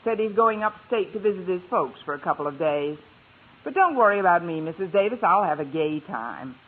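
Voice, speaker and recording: Dry, wisecracking schoolteacher, tinny old radio. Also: female voice, on the low side, animated, fairly quick, breathy edge. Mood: wry. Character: wry, lonely single woman English teacher. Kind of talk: 1950s radio sitcom acting.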